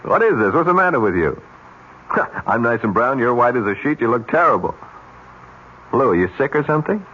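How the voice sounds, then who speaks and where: A man's voice: mid-range voice, sing-song, brisk, breathy edge. A man playing a blunt, demanding American advertising boss in a radio drama, with an interrogating manner. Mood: suspicious, pressing. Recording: dull, noisy old radio recording.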